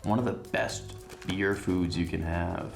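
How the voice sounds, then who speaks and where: A young man from southeastern Massachusetts, a guest chatting casually in a studio about food and snacks, relaxed and chatty with fillers. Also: low-pitched, measured pace, vocal fry, echoey room, over music. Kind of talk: casual chat, snack tasting.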